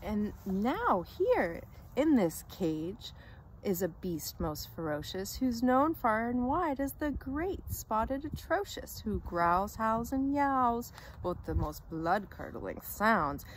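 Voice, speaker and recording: A woman's voice: fairly high pitch, very animated, slow pace, slight background noise, rough recording. A woman reading a rhyming children's story aloud with theatrical, dramatic emphasis.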